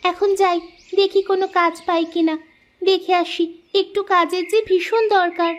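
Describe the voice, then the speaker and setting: A woman's voice: extremely high pitch, flat delivery, normal speed. A woman voicing a poor, earnest orphaned village girl in a moral-story cartoon. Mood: eager. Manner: exclaiming, talks to herself aloud. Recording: music behind the voice.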